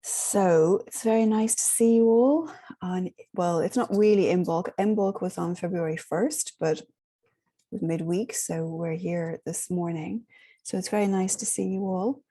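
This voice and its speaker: A hoarse woman with a sore throat, gently leading an online spiritual group in a rambling, casual way with many pauses. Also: lowish voice. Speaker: spiritual workshop host.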